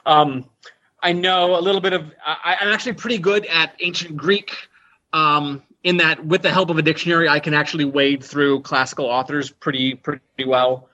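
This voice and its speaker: A man's voice: fairly high pitch, fairly even intonation, normal speed, pushed and bright. A man being interviewed as an author and language inventor, talking thoughtfully with frequent restarts and fillers. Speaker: author and conlanger, interview guest. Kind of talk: casual interview talk. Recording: muffled, dull podcast audio.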